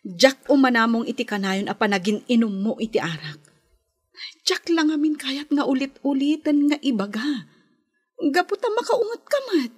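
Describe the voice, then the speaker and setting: A long-suffering wife in an Ilocano radio drama, worried and nagging. Her voice is fairly high and husky, with an Ilocano Filipino accent. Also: sing-song, moderate pace, exaggerated voice. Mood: scolding. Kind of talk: Philippine radio drama acting.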